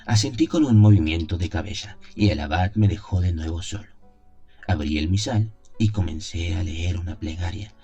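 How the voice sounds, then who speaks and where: A man narrating a gothic horror audio drama in the first person, solemn, measured and dramatic. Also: sits low, warm tone.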